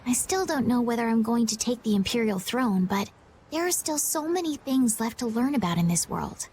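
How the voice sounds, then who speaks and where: Game heroine: gentle young noblewoman, high voice, sincere, some noise behind. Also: lively intonation, normal speed. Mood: reflective. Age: young adult. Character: earnest, gentle young noblewoman, impulsive and self-critical. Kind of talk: video game voice acting.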